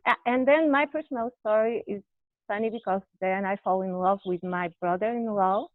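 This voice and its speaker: Halting woman guest on a tinny call. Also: mid-range voice, no echo. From Brazil. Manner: halting, searching for words, frequent restarts. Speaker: interview guest. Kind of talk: candid personal conversation. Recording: tinny phone-like video call audio.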